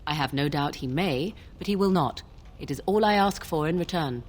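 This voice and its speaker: Low-voiced, imperious sorceress. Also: female voice, expressive, a little mellow. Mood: guarded, defiant. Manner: formal, archaic phrasing, measured and cool. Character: mysterious, guarded, imperious young sorceress in fantasy game. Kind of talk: fantasy video game dialogue.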